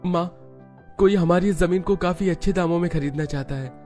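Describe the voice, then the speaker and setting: Man acting eager young husband, raised pitch. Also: moderate pace, a little mellow, close to an everyday voice. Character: hopeful young husband and son in poor family. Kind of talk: cartoon story dialogue. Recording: music bed behind the voice.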